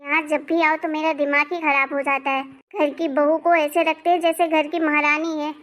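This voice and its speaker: A woman voicing an irritable married daughter in an animated family story, sharp, bossy and sarcastic. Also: high voice, moderate pace. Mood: irritated. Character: irritable, bossy married daughter visiting her parents' home.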